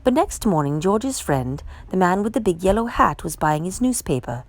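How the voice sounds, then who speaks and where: A woman reading a children's picture book aloud with measured, emphatic storyteller phrasing. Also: fairly low pitch, sing-song, dry recording. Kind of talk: expressive children's read-aloud narration.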